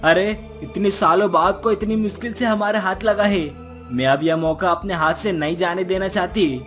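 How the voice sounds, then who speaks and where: A woman's voice: lowish voice, moderate pace, close to an everyday voice. A woman voicing a menacing, scolding witch mother in a cartoon story, with music behind her. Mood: stubborn. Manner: gloating, scolding, threatening.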